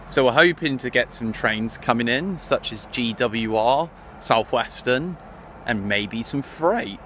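A man's voice: mid-range voice, expressive, measured pace, a bit bright. A young man chatting eagerly to his viewers as he vlogs trains from a railway platform, filming on a phone at arm's length. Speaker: trainspotting vlogger. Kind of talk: casual vlog commentary. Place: outdoors on a railway station platform. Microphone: phone camera held at arm's length. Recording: faint outdoor background noise. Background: faint outdoor traffic and station ambience.

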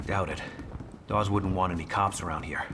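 An actor playing a tough, driven ex-cop heist leader in an action game, speaking in a terse, commanding way. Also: male voice, sits low, fairly even intonation, moderate pace, background noise, lightly acted. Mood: terse, wry. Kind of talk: naturalistic action game voice acting. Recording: loud game music behind voice.

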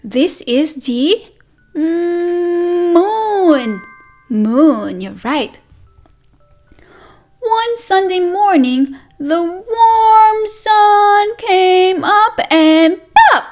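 A woman's voice: extremely high pitch, drawn-out words, soft background music. A woman teacher reading a picture book aloud to toddlers, asking questions and counting along with them. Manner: animated sing-song, asks children questions and counts along. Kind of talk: read-aloud circle time for toddlers. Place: indoors at a table, only hands and book in frame.

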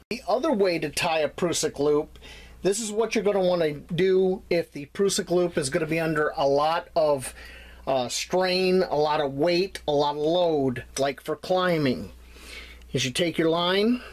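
A man teaching bushcraft knots, talking through each step while his hands tie the cord. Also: a little high. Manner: plain step-by-step explaining while tying. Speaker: bushcraft instructor. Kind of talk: hands-on knot tutorial.

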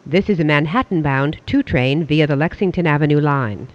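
A transit announcer reading scripted subway train announcements in a formal, measured way. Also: medium pitch, animated, no room sound. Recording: dull, lo-fi audio.

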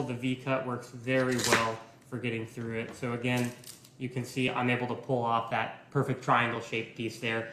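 A young man in his twenties giving a relaxed, step-by-step live cooking demonstration in a home kitchen, filmed by a camera at a distance. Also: medium pitch, echoey room, slight background noise. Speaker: cooking instructor.

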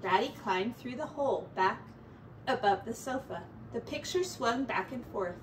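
Woman, middle-aged, children's story narration, high voice, expressive, roomy distant mic. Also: a little hiss or hum. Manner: measured, dramatic. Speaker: teacher reading aloud. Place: small attic-style bedroom, indoors. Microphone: distant camera mic.

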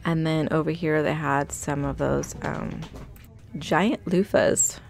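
Woman, low gravelly voice, casual shopping vlog chatter, upbeat, phone-recorded in a store aisle. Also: fairly even intonation, slow, soft background music. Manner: chatty, upbeat, reads out prices. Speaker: shopping vlogger. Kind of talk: casual shop-with-me narration. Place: inside a large retail store.

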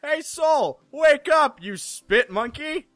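A man's voice: very high-pitched, lively intonation, takes it slowly, dry recording, studio quality, voice pushed up, exaggerated voice. A man voicing a bossy, teasing teen boy. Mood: mock scolding. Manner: loud, mock-stern scolding. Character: bossy teenage best friend Lucas, teasing and theatrical. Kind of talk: fan voicing of a visual novel.

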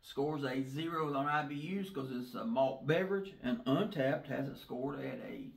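A man's voice: mid-range voice, normal speed, gravelly, distant mic. A man in his fifties with a Southern US accent, chatting casually as co-host of a beer review. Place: small indoor room, seated at a table. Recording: roomy echo.

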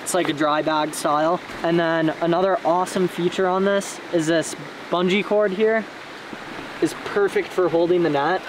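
A young man showing his fishing gear outdoors by a creek, talking in a relaxed, enthusiastic way with casual slang. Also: high voice, moderate pace. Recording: creek water noise behind.

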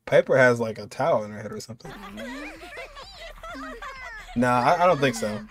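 A young man giving casual, excited reaction commentary with exclamations and slang. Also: mid-range voice, moderate pace. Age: young adult. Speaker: game reaction video host.

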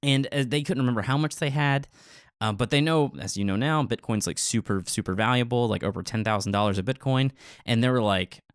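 A man's voice: medium pitch, fairly quick, no echo, clean studio sound. A man co-hosting a podcast in casual chat, telling stories in an informal, filler-heavy way. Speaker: podcast co-host.

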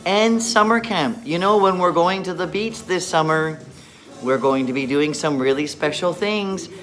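A man's voice: high voice, fairly bright tone. A middle-aged man speaks as an encouraging children's English teacher, walking students through worksheets. He takes his time and spells things out carefully, slightly muffled behind a mask. He is recorded on a handheld camera in a classroom, with faint music behind him. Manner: encouraging, slow deliberate enunciation for children. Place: indoor classroom. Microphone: phone or camera at arm's length.

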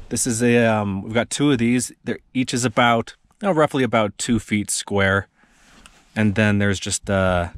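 A man in his thirties giving a relaxed, rambling walkthrough of his camping setup while filming inside a parked SUV on a handheld action camera. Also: lowish voice. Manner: relaxed, rambling, with many fillers and restarts. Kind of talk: casual walkthrough. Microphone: action camera held in hand.

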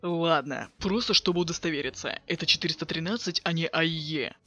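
A man voicing a cool, sarcastic teenage boy with offhand deadpan delivery in a webcomic dub. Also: a little high, brisk, dull audio. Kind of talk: fan dub of a webcomic chat.

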